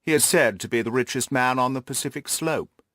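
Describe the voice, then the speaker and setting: A man playing a haughty, reserved aristocratic lord with a pompous, measured way of talking in an audiobook. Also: medium pitch, a bit bright, no room sound, studio quality, natural voice. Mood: aloof. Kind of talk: audiobook character dialogue.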